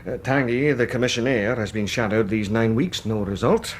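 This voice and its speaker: A man's voice: medium pitch, moderate pace, a little hiss or hum, lightly acted. A man acting in a British radio drama as a wary, grudging police inspector who reports bluntly and matter-of-factly.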